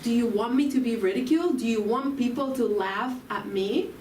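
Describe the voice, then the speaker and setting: Argentine-accented woman preacher, fairly high voice, unhurried, reflective questions to the room; roomy distant recording. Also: darkish tone, a little hiss or hum. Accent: Argentine Spanish. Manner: slow, reflective, asks the audience questions. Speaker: spiritual talk speaker. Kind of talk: inspirational sermon. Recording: roomy, from far off, faint ambience.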